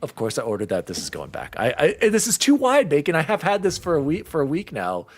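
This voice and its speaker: A man hosting a casual podcast, ranting in an exasperated, animated way. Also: fairly high pitch, fast talker. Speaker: podcast host.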